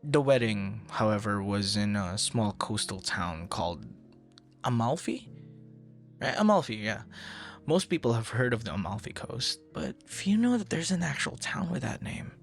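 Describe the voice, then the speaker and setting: A man acts out a charming, easily flustered young guy in an intimate boyfriend-style audio roleplay. His speech is lively and melodic with a gravelly creak at the edges. He chats casually, teasing and tripping over his words, with faint music underneath. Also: on the low side. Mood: chatty, lightly flustered. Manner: chatty, teasing, halting with playful stammers. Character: friendly, flustered young male traveler charmed by a stranger.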